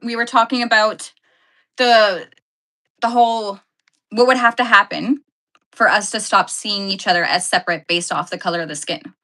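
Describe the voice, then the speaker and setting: A woman talks through a live stream in a loose, chatty way. She laughs often, rambles and corrects herself mid-thought. Her voice is bright and sharp, sitting at an ordinary pitch for a woman. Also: steady tone, moderate pace. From Canada. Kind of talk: casual live-stream chat answering viewer comments.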